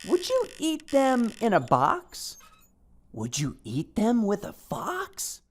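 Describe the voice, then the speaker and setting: A woman's voice: a little high, huge pitch swings, slow pace, full character voice. A woman voicing a cheerful, pushy little storybook character who keeps coaxing with sing-song questions. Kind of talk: storybook reading.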